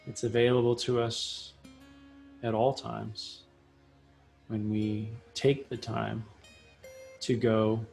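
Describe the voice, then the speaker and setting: A man leading a guided meditation in a soothing style, speaking in short, measured phrases with long pauses. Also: fairly low pitch, little pitch movement, gravelly. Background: soft plucked guitar music.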